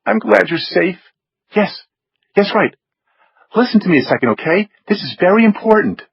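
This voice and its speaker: A man's voice: sits high, lively intonation, moderate pace, gravelly, breathy edge, no echo, dull audio, pitched above the performer's own voice, full character voice. A man voicing a weary, anxious middle-aged mother pleading with her daughter on the phone.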